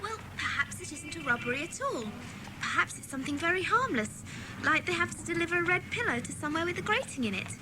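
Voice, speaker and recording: A woman's voice: extremely high pitch, very animated, fast talker, noisy background. A woman playing an eager girl detective who explains her ideas in a dramatised children's audiobook. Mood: eager, reasoning.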